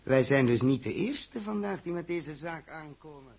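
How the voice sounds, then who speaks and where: An actor playing a suspicious pilot captain who presses urgently for answers in a 1960s radio drama. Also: male voice, fairly high pitch, expressive, moderate pace, vocal fry, breathy edge, exaggerated voice. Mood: pressing. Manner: insistent, urgent.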